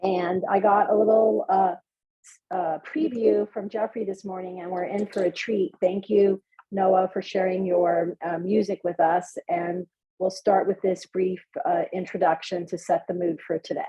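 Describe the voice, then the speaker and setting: A woman hosting an online event, speaking informally and haltingly with many ums as she introduces the program. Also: on the low side, level tone. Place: live online video meeting. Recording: muffled, lo-fi video call audio.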